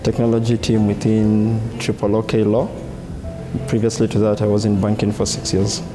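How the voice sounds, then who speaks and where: A man's voice: on the low side, completely flat delivery, moderate pace, music in the background. A man, introducing himself in a profile interview. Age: 20s. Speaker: interviewee, law firm technology head.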